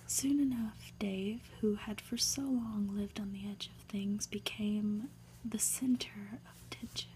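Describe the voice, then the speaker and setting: Slow whispering woman reading a story. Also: mid-range voice, fairly even intonation, rough recording. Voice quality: breathy whisper. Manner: slow, gentle, deliberate reading. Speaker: ASMR reader. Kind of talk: whispered ASMR storybook narration. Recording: faint background noise.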